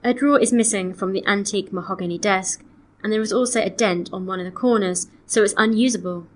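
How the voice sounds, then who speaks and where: A woman acting as a polite female customer with a mid-range voice. She enunciates each detail in an orderly, measured manner, as in a scripted listening exercise. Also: mid-range voice, natural voice. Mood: polite, matter-of-fact. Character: polite woman customer phoning to report missing, damaged antiques. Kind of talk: scripted listening-test dialogue.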